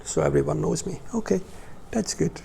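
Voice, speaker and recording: A man in his forties with a Hungarian accent, talking informally to a small religious class in a hesitant, halting way with pauses. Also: on the high side, creaky, mellow, slight background noise. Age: 40s. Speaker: religious teacher.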